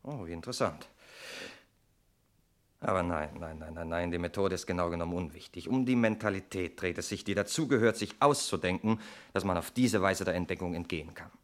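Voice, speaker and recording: An actor playing a smug, calculating brother in a 1960s German radio murder mystery, suave, theatrical and mocking. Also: male voice, low-pitched, brisk, lightly acted. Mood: relishing, sly.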